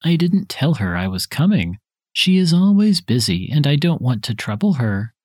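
A man reading his parts in a scripted dialogue for a language listening test, with careful, even delivery. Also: fairly high pitch, moderate pace, dry recording, clean studio sound.

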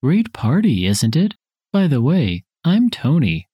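A man reading his parts in a scripted dialogue for a language listening test, with careful, even delivery. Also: fairly high pitch, slow, slightly rough, no echo, lo-fi sound.

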